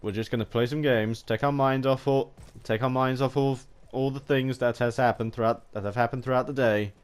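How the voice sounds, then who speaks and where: A British man giving a rambling video game commentary, haltingly, often repeating and rephrasing his phrases as he goes. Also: mid-range voice, steady tone, clear, resonant tone.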